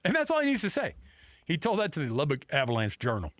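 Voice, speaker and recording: A man hosting a sports talk radio show, telling stories and bantering in an animated, emphatic way. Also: on the high side, fast, no echo, clean studio sound. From Texas and Seattle. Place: radio studio. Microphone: close studio microphone.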